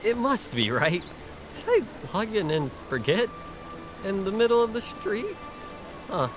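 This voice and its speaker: Man playing a high, wildly animated teenage boy. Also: unhurried. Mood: bewildered, panicky. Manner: rambling, sarcastic self-talk. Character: clueless excitable teenage dropout boy in another world. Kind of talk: anime parody dub. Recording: music behind the voice.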